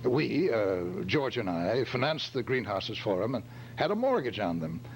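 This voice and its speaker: A man's voice: medium pitch, moderate pace, rough recording. Man acting a flustered, stammering radio-drama businessman. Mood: anxious recalling. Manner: stammering, hesitant, excitable. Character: flustered, startled well-off businessman, clubman, grown man. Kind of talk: old-time radio detective drama acting. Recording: faint hum and radio-like background noise.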